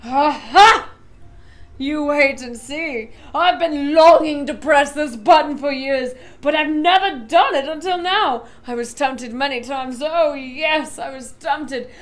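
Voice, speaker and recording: A woman voicing an eccentric, excitable male candy maker who booms and shouts gleefully. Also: unhurried, few pauses. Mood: cheerful. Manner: booming and gleeful.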